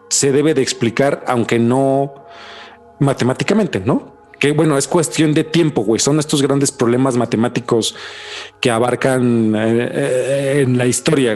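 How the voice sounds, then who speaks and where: A young Mexican man co-hosting a podcast, telling the story casually with lots of slang and filler. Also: medium pitch. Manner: slangy, says güey constantly, animated storytelling. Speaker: podcast co-host. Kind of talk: casual chat.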